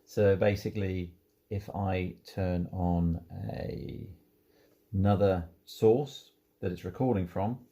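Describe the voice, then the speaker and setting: A man's voice: low-pitched, steady tone, slow, warm tone, a little hiss or hum. A man giving an informal hands-on product walkthrough, explaining things unhurriedly, step by step. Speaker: presenter demonstrating audio equipment.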